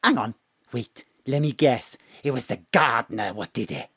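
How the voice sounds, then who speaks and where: Nosy, feisty older mother; homely scolding, lively intonation, comic audio drama. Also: very deep voice, moderate pace, slightly rough, dry recording, clean studio sound, exaggerated voice. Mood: nosy, confiding. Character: feisty, nosy, middle-aged-to-older mam.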